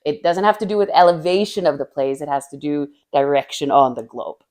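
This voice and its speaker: A low-pitched woman from Finland, an artist in her thirties, answers quick questions in a casual interview. She speaks earnestly and thoughtfully, warming into small laughs, from a table in a bright, art-filled living room. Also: fairly even intonation. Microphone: camera across a table.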